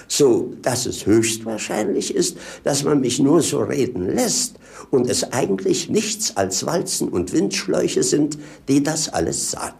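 A rambling fool showman, 1950s radio play, creaky and swoopy, very theatrical. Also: mid-range voice, darkish tone.

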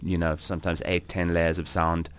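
A man's voice: low-pitched, flat delivery, moderate pace, smooth. A young man from South Africa, a guest musician chatting in a radio interview, hesitant with many fillers and restarts.

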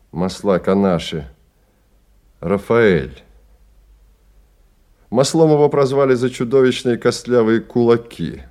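A man's voice: lowish voice, a little mellow. A man narrating a Russian radio play as a scripted literary story.

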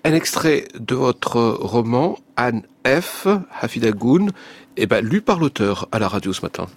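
A man hosts a radio interview in a composed way. His voice is husky, and he reads quotations back to his guest and introduces the excerpts. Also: medium pitch, lively intonation, measured pace.

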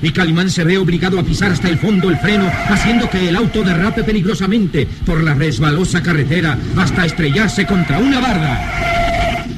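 A man's voice: high voice, very animated, normal speed, very noisy. A man narrating a radio serial in a suspenseful, theatrical style.

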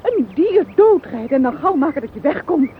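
A panicking, scheming woman in an old radio drama. Her very high voice swoops about as she stammers breathlessly in agitation. The recording is narrow and hissy. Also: big pitch swings, moderate pace. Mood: frantic. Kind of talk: radio play acting.

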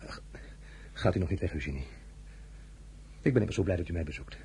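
An imperious, ambitious male ruler in a radio drama, with a Dutch accent. His voice swings widely between crisp command and soft, suave condescension. Faint noise sits behind him. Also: low voice, lively intonation, fairly quick. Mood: intimate, brooding. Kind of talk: radio play acting.

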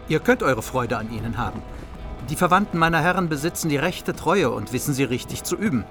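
Formal, loyal medieval retainer. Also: male voice, fairly high pitch, expressive, a little fast, fairly bright tone, faint music behind. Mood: assured. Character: loyal, formal retainer vouching for his lords. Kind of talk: radio play acting of medieval epic.

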